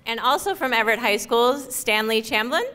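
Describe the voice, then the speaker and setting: A woman on the program staff calls out honorees at a ceremony, friendly and informal, with small pauses. Her voice is bright and forceful and a little high, and faint noise runs under it. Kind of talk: announcing awards at a ceremony. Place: event hall.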